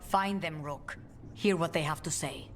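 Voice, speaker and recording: A woman playing a grave, composed resistance fighter in a video game, measured and formal. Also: low-pitched, heavy background noise. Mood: hopeful, grave. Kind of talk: dramatic video game acting.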